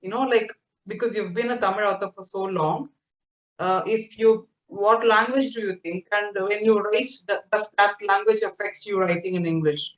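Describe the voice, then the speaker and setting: A woman's voice: mid-range voice, steady tone, moderate pace. A woman interviewing an author, recalling stories in a reflective, conversational way. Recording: roomy sound from a distance.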